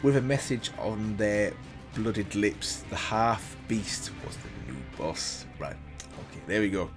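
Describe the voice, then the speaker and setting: A man's voice: fairly low pitch, expressive, slow pace, over music. A man hosting a reaction video, reading a story aloud in a casual way with slips and self-corrections, remarking on it as he goes.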